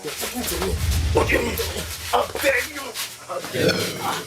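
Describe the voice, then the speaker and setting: Domineering young man, high-pitched and volatile. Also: lively intonation, moderate pace, loud music behind the voice. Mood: angry, confrontational. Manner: aggressive, domineering, repeating demands. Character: possessive, hot-tempered, menacing young boyfriend. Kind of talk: melodramatic film acting.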